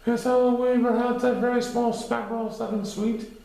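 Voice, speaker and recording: Man as a simple storybook teddy bear, high-pitched, earnest, curious, strong room echo. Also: flat delivery, fairly quick, gravelly, mellow. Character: hungry, naive, good-natured male teddy bear.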